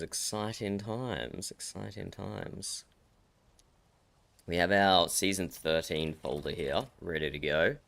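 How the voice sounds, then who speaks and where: A man hosting a livestream, croaky from just waking up, rambling and correcting himself as he chats and teases his guest. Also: low-pitched, a bit bright. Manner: rambling, self-correcting, teasing. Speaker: streamer host. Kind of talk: casual livestream chat. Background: faint background music.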